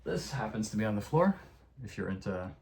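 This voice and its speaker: A man's voice: fairly low pitch, big pitch swings, fairly quick, gravelly, a little mellow, a little hiss or hum. A man born in the United States and raised in Europe, chatting enthusiastically through a bookshelf tour at home, with lots of fillers. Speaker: video creator giving a bookshelf tour. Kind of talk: casual spoken vlog.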